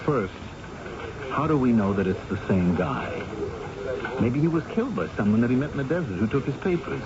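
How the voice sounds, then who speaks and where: Dull vintage radio sound carries a persuasive newspaperman. He is informal and insistent like a salesman, his pitch moving freely up and down. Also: sing-song, brisk, background noise. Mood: analytical, excited. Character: persuasive, fast-talking male newspaper reporter, insistent. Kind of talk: old-time radio drama acting. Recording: dull old radio recording.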